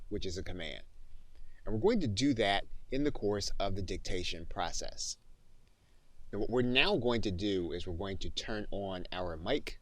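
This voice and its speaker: A man narrating an instructional software tutorial with methodical, deliberate phrasing. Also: on the low side, dry recording. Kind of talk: tutorial narration with spoken dictation demonstration.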